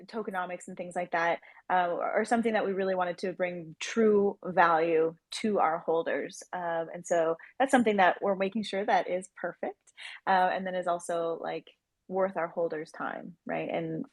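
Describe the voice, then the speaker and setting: A woman's voice: on the low side, no room sound. Chatty woman explaining in an interview. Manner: chatty, explanatory, peppers speech with "like". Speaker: interview guest, project team member. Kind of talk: casual promotional interview talk.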